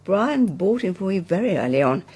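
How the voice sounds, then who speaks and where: An actress playing a gracious older farmhouse mother in a radio soap, husky. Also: mid-range voice, sing-song, brisk, a little mellow. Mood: nostalgic. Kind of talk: naturalistic radio soap acting.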